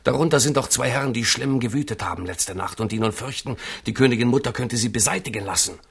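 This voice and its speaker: Gravelly, insistent royal confidant. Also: male voice, medium pitch, fast. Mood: persuasive. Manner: insistent, rhetorical. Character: urgent, scheming loyal companion of a young king. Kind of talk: 1970s radio play acting.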